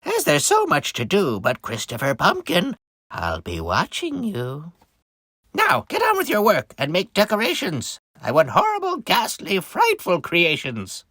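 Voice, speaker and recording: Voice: medium pitch, wildly swooping pitch, a little slow, fairly bright tone, dry recording, clean studio sound, voice pushed up, exaggerated voice. A performer voicing a wicked old witch from a children's storybook, irritable and bossy, snapping out scornful commands.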